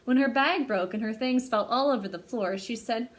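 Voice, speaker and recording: A woman hosting a library storytime, speaking to young children in an animated way as she presents and reads a picture book aloud. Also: on the high side, moderate pace.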